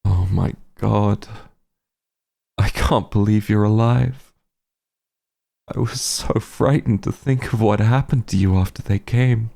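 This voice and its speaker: A man acts out a fantasy monster hunter speaking tenderly to a long-lost friend in an intimate audio roleplay. His low voice is thick with gravel and fry, and he takes his words slowly, halting with remorse and feeling. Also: exaggerated voice. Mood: shocked, overwhelmed. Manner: halting, emotional, gentle. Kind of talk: roleplay audio drama, intimate monologue to listener.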